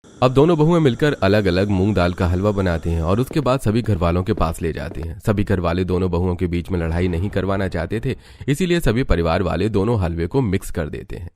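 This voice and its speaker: A man narrating a scripted animated family story. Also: deep voice, a little fast, no echo.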